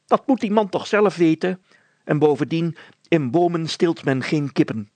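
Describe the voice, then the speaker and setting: A man's voice: fairly high pitch, animated, normal speed, darkish tone, no echo, pitched above the performer's own voice. A man voicing a fussy, officious village mayor in a story, blustering and easily exasperated. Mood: dismissive.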